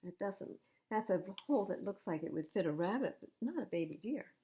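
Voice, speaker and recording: An older woman reading picture books aloud as a library storytime host, in an expressive, animated manner. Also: mid-range voice, a little fast, slight background noise. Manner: animated, acting out dialogue.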